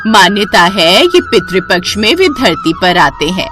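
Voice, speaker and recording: A woman acting a kindly grandmother in a devotional storybook cartoon. She explains reassuringly and piously, with lively intonation and music behind her. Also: mid-range voice, a little slow. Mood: gentle, reassuring.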